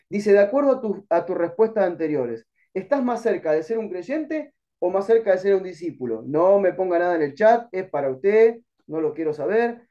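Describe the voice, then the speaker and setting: A man's voice: high-pitched, warm tone, dull audio. A middle-aged man with an Argentine accent teaching an online Bible class, earnest and exhorting, calling listeners brother. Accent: Argentine Spanish.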